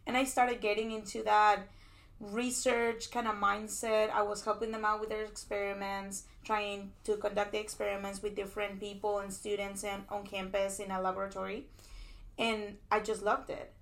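A woman's voice: medium pitch, little pitch movement, moderate pace, vocal fry. A young woman from Peru, a PhD student guest, chatting casually and telling her story with many likes and restarts, recorded at a conference. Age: young adult. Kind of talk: casual interview. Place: conference venue.

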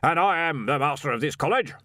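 A man voicing a pompous, blustering old wizard who heads a university, with a booming, imperious manner, in a storybook reading. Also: lively intonation, fast talker, bright, forceful tone, no echo, clean studio sound. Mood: indignant, imperious.

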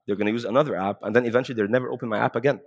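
A man from Egypt speaking conversationally as a panelist, halting and repeating phrases as he makes his points. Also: medium pitch. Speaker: panelist, app company representative.

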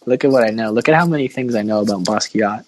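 A man's voice: on the low side, quick pace. A man hosting a casual sports podcast, giving opinions in a mock-dramatic, self-deprecating way. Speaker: podcast host.

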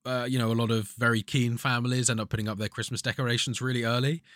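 A man with a mid-pitched voice, talking casually on a podcast. He explains things at length, with lots of "uh" and "you know", and he laughs easily. The sound is dry and close, with no room echo. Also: little pitch movement, fast talker, fairly bright tone. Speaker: podcast co-host. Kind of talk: casual chat.

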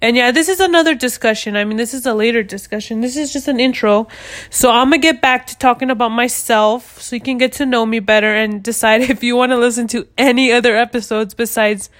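A woman in her twenties from the United States, hosting a podcast in loud, blunt casual chat and swearing freely. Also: fairly high pitch, steady tone, fairly quick. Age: 20s. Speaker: podcast host.

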